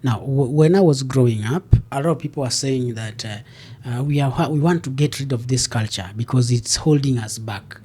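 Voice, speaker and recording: A man with a Kenyan accent leads a podcast conversation as host. He keeps up a steady run of "yeah" and "mm-hmm" while his guest talks. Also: mid-range voice, moderate pace, slightly rough. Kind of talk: interview conversation.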